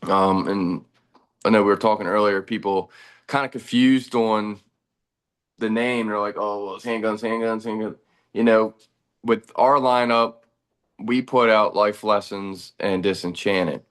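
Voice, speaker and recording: A man in his thirties, a band's bass player, talking in a relaxed, rambling way during a casual chat. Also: unhurried, clean tone. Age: 30s.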